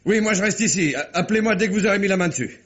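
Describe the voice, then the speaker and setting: A man's voice: high-pitched, rapid-fire, noisy background, lightly acted. A man playing a shrewd, unflappable police commissioner in a mid-century French radio crime drama, speaking in a dry, terse, colloquial way. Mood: urgent. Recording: dull, muffled old radio recording.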